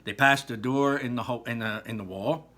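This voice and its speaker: A man's voice: medium pitch, moderate pace, sharp tone. A man reading a children's book aloud, telling the story expressively with small slips now and then. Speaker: reader.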